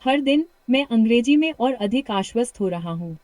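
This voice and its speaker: A woman with a British accent coaching a shadowing practice lesson, full of encouragement and reading practice sentences slowly and repeatedly. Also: a little high, lively intonation, a little mellow, no echo. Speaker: English speaking coach.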